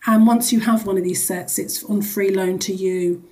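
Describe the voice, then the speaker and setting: Mellow-voiced woman explaining in an interview. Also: mid-range voice, fairly even intonation, moderate pace. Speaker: charity executive, interview guest.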